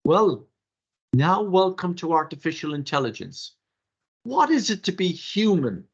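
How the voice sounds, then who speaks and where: An older Irish man whose first language is Irish Gaelic gives a webinar workshop in an animated, rhetorical way, with theatrical asides, heard over a video call. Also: sits high, measured pace, slightly rough. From Ireland. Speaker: workshop presenter. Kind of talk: webinar lecture. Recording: dull lo-fi webinar audio.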